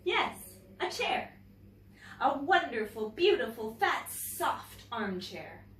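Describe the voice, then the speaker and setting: A woman teacher reading a picture book aloud to young children, with expressive, deliberate phrasing and chatty asides to her listeners. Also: sits high. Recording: roomy, distant mic, faint background noise.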